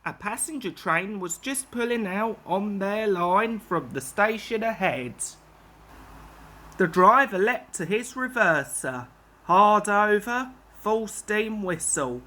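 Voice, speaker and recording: Woman narrating a kids' storybook, slow and lively, bright tone. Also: mid-range voice. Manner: slow, expressive. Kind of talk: children's story reading.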